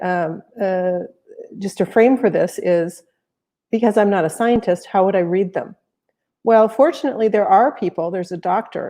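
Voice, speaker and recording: An American woman with a lowish, mellow voice speaks as a panel guest. She explains things steadily and carefully, pausing now and then on a filler. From United States. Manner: measured, explanatory, occasional fillers. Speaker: panel guest, software professional. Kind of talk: panel discussion remarks.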